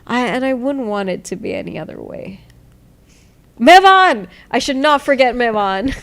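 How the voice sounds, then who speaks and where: A woman who talks in a low tone, chatting casually and rambling through thanks to people in an interview. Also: wildly swooping pitch, normal speed. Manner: low-toned, rambling, grateful shout-outs. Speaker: founder of a music site, interviewed.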